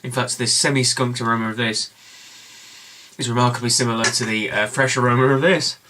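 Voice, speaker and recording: A young Englishman reviewing a beer at home in a casual vlog, enthusiastic and rambling with plenty of fillers, his voice slightly hoarse. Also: medium pitch, steady tone, fairly quick, bright, forceful tone. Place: home room with bookshelves, indoors.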